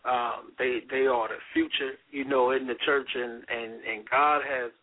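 Husky forty-something pastor on a phone line. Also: male voice, medium pitch, little pitch movement, a little slow. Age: 40s. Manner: warm preacher's cadence, affirming with amens. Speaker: pastor and radio host. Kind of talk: radio talk show interview. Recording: phone-line radio audio.

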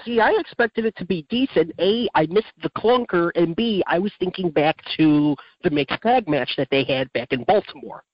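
A man with a slightly rough voice, giving casual match opinions as a wrestling podcast co-host. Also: sits high, lively intonation, moderate pace, hardly any pauses, no echo, lo-fi sound. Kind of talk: casual sports review chat.

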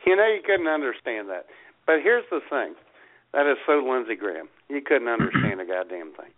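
A man's voice: mid-range voice, big pitch swings, fairly quick. A man co-hosting a radio show, making wry political quips in casual banter. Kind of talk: political comedy chat. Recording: phone-like lo-fi sound.

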